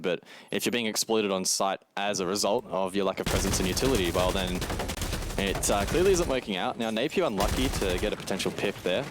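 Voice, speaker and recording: A man giving live commentary and analysis on a shooter match in an analytical, emphatic way. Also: lowish voice. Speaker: esports commentator. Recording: clear noise with game gunfire behind. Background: game gunfire and clicks.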